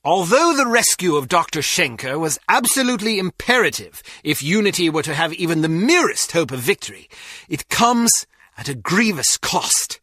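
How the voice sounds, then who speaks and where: High-voiced, stern spy chief. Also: male voice, bright, forceful tone, breathy edge, dry recording, studio quality, heavily acted. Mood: solemn, urgent. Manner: formal, measured, authoritative diction. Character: stern, formal male intelligence chief briefing an agent. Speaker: mission briefer. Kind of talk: scripted game mission briefing.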